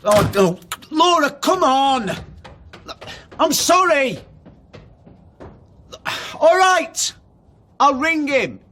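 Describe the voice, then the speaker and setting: An actor playing a prickly, defensive and easily agitated son in a naturalistic radio drama, halting at times. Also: male voice, very high voice, sing-song, bright, forceful tone, heavily acted.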